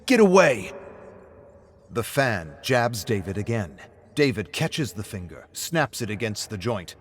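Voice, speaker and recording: A man narrating a scripted dramatic story. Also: fairly low pitch.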